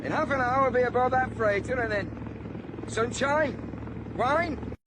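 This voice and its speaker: An actor playing a defiant, confident criminal mastermind on the run in a radio crime drama, speaking in a clipped way. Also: male voice, very high-pitched, slow pace. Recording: loud engine and helicopter noise.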